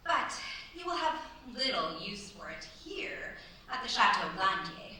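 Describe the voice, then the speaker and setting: A woman's voice: mid-range voice, big pitch swings, measured pace, sharp tone. A woman acting a tart, disapproving French sister-in-law in a staged radio play, cutting and formal. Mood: coolly polite. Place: theatre stage at a cultural centre. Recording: very echoey stage, distant mic, faint noise.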